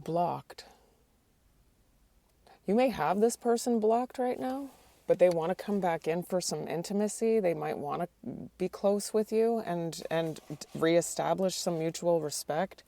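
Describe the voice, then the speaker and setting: Low, gravelly woman reading tarot. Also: unhurried, warm tone. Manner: hesitant, restarts often, asks the cards aloud. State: losing her voice. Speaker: tarot reader.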